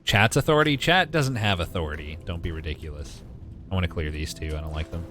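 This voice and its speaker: Very deep-voiced young man streaming a game. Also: fast, over music. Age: 20s. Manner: wry, joking, mild swearing, talks to chat. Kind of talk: casual live gaming commentary with chat replies.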